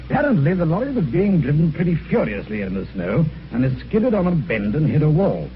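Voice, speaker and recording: A jovial British police inspector chats in a breezy, teasing way in a vintage radio drama. His voice sits a little high and swings animatedly. The recording is dull, with faint noise. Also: huge pitch swings, a little fast. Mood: breezy explaining. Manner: breezy, amused, chatty. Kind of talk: 1950s radio mystery acting.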